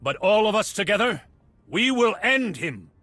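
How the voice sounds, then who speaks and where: An actor playing a resolute, defiant hero rallying allies in a video game, earnest and determined. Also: male voice, high-pitched, sing-song, moderate pace, bright, forceful tone, dry recording, soft background music, full character voice. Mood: defiant resolve. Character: resolute heroic male warrior, defiant and rallying. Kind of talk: dramatic video game acting.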